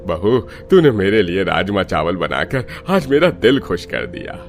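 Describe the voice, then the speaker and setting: Old cartoon father-in-law played by a man, deep, slow, doting, expressive. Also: soft background music, heavily acted. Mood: delighted.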